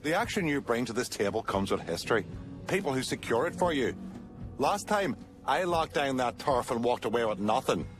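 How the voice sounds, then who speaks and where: Actor as blunt, swooping-voiced gangster. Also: male voice, mid-range voice, big pitch swings, normal speed, over music, close to an everyday voice. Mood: aggrieved, then conciliatory. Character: aggrieved, blunt gang underboss.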